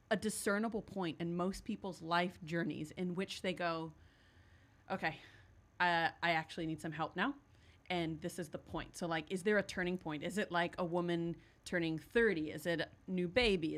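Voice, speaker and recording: A woman hosts a relaxed podcast chat with a playful, enthusiastic manner and fills the gaps with encouraging yeahs. Her voice sits on the low side for a woman. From not from New Zealand. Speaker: podcast host. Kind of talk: casual interview chat.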